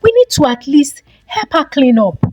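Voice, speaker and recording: A woman voicing a kind, apologetic young lady in a folktale, soft-spoken and earnest. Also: sits high, big pitch swings, normal speed, muffled recording, pitched above the performer's own voice. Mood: pleading.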